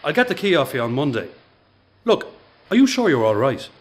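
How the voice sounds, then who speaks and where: An actor playing a considerate workmate who is in a hurry, in a radio play. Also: male voice, mid-range voice, sing-song, fairly quick, natural voice. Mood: hurried, concerned. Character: hurried, considerate male workmate.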